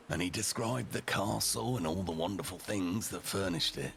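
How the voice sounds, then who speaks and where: A man voicing a rough and ready, foul-mouthed storyteller, narrating a storybook tale theatrically with deliberate, dramatic pauses. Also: low voice, a bit bright, slight background noise, heavily acted.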